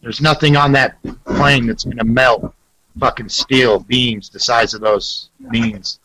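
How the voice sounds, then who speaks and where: Man, mid pitch, bright forceful voice, casual debating, online call. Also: slow pace, poor audio. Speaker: participant in an online group debate.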